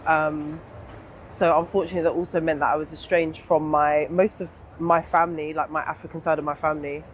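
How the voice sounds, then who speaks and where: A young adult woman with a southern English accent speaks candidly and informally in an interview, pausing to think as she reflects. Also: sits low, flat delivery, slight background noise. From England. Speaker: interview guest.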